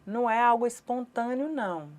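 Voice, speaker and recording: A Brazilian Portuguese woman with a fairly high voice interprets a course lecture aloud. She speaks in careful, measured short phrases and pauses between them, like a teacher's words voiced on the fly. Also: lively intonation. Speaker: interpreter voicing a sign-language lecture.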